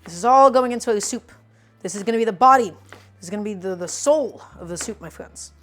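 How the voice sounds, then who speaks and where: A woman's voice: mid-range voice, fairly quick, faint music behind. A woman in her twenties hosting a casual cooking livestream, teasing her viewers and explaining each step while she chops. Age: 20s. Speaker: cooking streamer.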